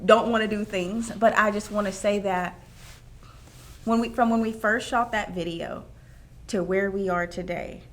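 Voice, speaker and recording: A woman hosting a heartfelt, faith-based conversation, speaking in an animated, emphatic way and quick to laugh. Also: mid-range voice. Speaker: host interviewing her mother.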